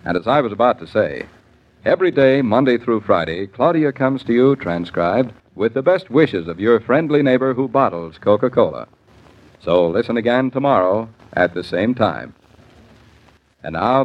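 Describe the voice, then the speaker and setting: A man announces in polished 1940s sponsor style. His medium-pitched voice moves with lively inflection. The old radio recording is dull.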